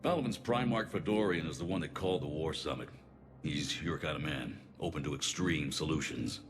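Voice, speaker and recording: A man playing a grave, weary alien councilor in a video game, measured, formal and authoritative. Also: extremely low pitch. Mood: confiding. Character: grave alien male councilor, weary but pragmatic statesman. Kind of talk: dramatic video game acting. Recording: echoing hall, clear background noise.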